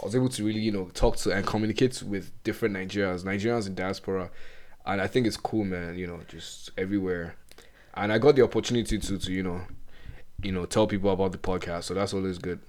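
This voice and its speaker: A man's voice: low voice, quick pace. Young man, podcast co-host, casual chat, laid-back and easygoing. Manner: relaxed, laid-back, says "man" and "you know" often.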